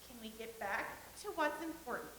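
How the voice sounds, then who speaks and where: A woman in a staged reading playing an excitable, pleading woman fixated on a famous assassin. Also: a little high, normal speed. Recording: roomy with faint hiss.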